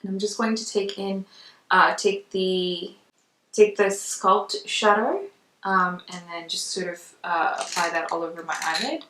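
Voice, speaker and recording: A woman's voice: on the low side, measured pace, fairly bright tone. A woman giving a casual makeup tutorial in a chatty, conversational way. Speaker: makeup vlogger. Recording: roomy echo, distant mic.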